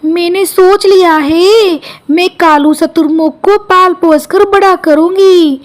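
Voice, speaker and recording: A woman's voice: very high voice, fairly even intonation, slow pace. A woman voicing a kindly, caring mother bird in a cartoon story. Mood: determined. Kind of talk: Hindi cartoon story dialogue.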